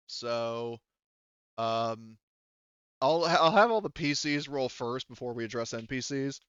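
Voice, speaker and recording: A man improvising as game master of a tabletop game, thinking aloud in a halting, casually profane way. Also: medium pitch, fairly bright tone, smooth, no echo, muffled recording.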